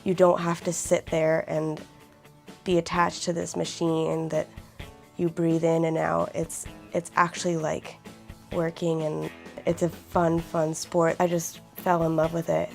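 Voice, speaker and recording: An eighteen-year-old woman with a raspy voice who keeps clearing her throat, speaking earnestly and reflectively in a documentary interview. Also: on the low side, a little mellow. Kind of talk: personal documentary testimony.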